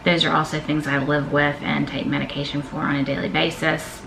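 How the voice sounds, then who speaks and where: A woman's voice: deep voice, level tone, a little fast, creaky, a bit bright, reverberant, a little hiss or hum, poor audio. A woman, chatting candidly as she reads out questions and answers them in a vlog. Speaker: vlogger. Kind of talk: casual Q&A vlog.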